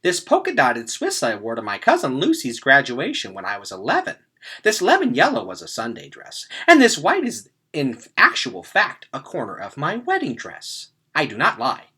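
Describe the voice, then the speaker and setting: An elderly grandmother from a storybook , acted out by a man, fond, muddled and insistent. The voice is pitched up and lively, with emphatic delivery. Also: normal speed, breathy edge, full character voice. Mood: reminiscing. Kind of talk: storybook read-aloud character voice.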